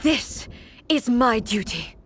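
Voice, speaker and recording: Solemn, higher-voiced goddess ruler. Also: female voice, sing-song, fairly bright tone, soft background music, exaggerated voice. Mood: resolute. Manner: formal, measured, grave. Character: solemn, resolute female ruler deity sworn to duty. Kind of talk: dramatic video game voice acting.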